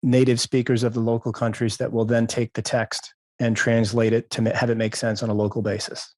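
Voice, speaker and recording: A man's voice: medium pitch, little pitch movement, a little fast, darkish tone, no room sound, studio quality. A man hosting a podcast interview who asks casual questions and stammers a little as he starts them. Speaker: podcast host.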